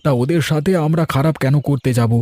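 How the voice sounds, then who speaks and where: A man voicing an easygoing village gentleman and husband in a cartoon story, expressive and placating. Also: a little high, fairly quick, no echo, natural voice.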